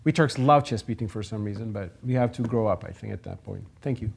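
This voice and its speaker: A man's voice: lowish voice, creaky, darkish tone, husky. Turkish-accented man giving a forum talk. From Turkey. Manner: thoughtful, emphatic, with pauses and restarts. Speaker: guest speaker. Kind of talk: public forum talk and answers. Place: lecture hall forum.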